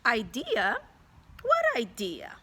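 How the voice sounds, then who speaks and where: A woman's voice: fairly high pitch, huge pitch swings, extremely slow, pushed and bright, breathy edge, heavily acted. A woman voicing a cheeky, brave schoolboy who feigns blank innocence, in a storybook read-aloud. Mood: feigned innocence.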